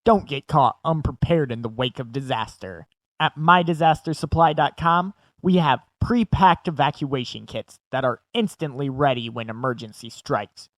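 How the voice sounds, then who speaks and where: A man's voice: medium pitch, no echo. A man reading scripted narration and promotional copy as an announcer.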